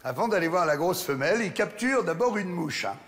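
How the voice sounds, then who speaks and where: Rowdy high-voiced stand-up comedian. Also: male voice, fairly quick. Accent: Champagne. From France, Champagne region. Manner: crude, animated, talks straight to the audience. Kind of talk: live stand-up routine. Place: large theatre stage. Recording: live theatre with long reverb and crowd.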